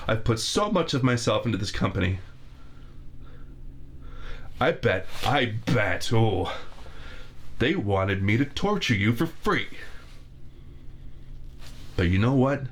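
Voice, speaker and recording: A man's voice: on the low side, big pitch swings, slow pace, pauses often. A man voicing a pompous middle-aged male torturer with grand, formal villain speech in an ASMR roleplay, recorded on a binaural microphone. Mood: spiteful.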